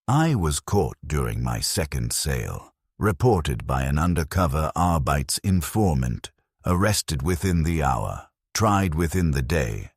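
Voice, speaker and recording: A man narrating a dramatic story as a grim, embittered convict soldier, solemn and deliberate in his bleak delivery. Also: rumbling low, breathy edge, dry recording, clean studio sound, exaggerated voice. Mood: bleak, resigned.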